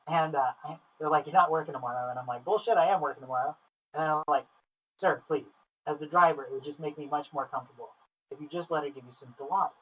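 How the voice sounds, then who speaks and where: Husky guy, voice a bit high, sweary casual storyteller doing an interview, sounds far from the mic, lo-fi. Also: fast talker. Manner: informal, sweary, excitable storyteller. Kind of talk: casual chat, animated anecdotes. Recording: distant, muffled lo-fi, technical faults.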